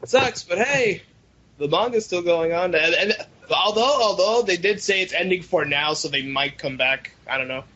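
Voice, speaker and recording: A man's voice: sits high, lively intonation, normal speed, sharp tone. A young adult man chatting casually as co-host of a fan podcast over a voice call. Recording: dull lo-fi internet call.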